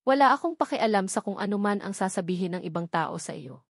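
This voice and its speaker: A woman's voice: medium pitch, animated, fast, breathy edge, no echo, studio quality. A woman voicing a serious, possessive, wounded billionaire man in love, with a Filipino accent, in a romance audiobook. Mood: earnest, insistent.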